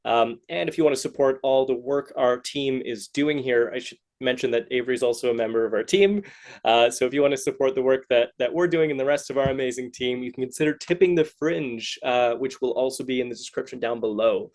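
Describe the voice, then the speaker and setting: A man hosts a relaxed podcast interview in a mid-pitched voice with plenty of creak. He feels his way through questions and remarks, rambling a little and sounding encouraging. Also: brisk. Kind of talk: casual interview chat.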